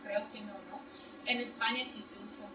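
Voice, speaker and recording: A woman's voice: medium pitch, steady tone, normal speed. A woman giving a university seminar lecture in Spanish as a second language, explaining in plain steps and checking her listeners with short questions. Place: seminar room. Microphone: distant room mic. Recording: echoey room, far mic, loud noise.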